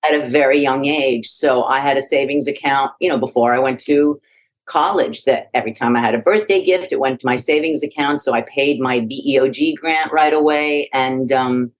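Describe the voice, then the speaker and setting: A woman's voice: very deep voice, moderate pace. A middle-aged woman from Iraq, a podcast guest telling her life story in a frank, reflective way with frequent restarts. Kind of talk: conversational interview.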